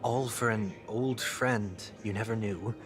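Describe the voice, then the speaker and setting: An actor playing a composed, formal young man who speaks softly and deliberately in a game. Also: fairly low pitch, fairly even intonation, a crowd in the background, lightly acted. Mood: probing. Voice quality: soft-spoken, formal, measured. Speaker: game character. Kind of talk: game voice acting. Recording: game audio with music underneath.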